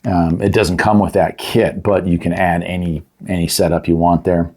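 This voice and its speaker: A man's voice: sits low, flat delivery, normal speed, darkish tone. A middle-aged man giving a casual, unscripted product review in a folksy, chatty, plain-spoken way. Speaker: host of a product review channel.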